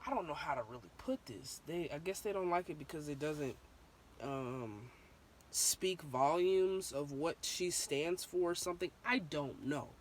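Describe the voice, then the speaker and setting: A young woman with a sore, slightly hoarse throat, talking through her opinions in a candid, rambling vlog full of ums and restarts. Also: sits low, lively intonation, unhurried, a little hiss or hum. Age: young adult. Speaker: vlogger.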